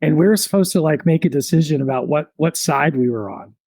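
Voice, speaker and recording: Man, thirties, podcast guest, mellow tone, dry close sound, rambling storyteller. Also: on the high side, fairly quick, no room sound. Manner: relaxed, reflective, rambling with many fillers.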